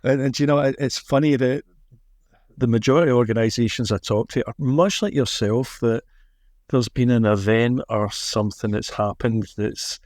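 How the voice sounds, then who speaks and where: A man's voice: mid-range voice, steady tone, moderate pace, a little mellow, dry recording, studio quality. A man hosting a podcast interview in a conversational way. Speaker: podcast host.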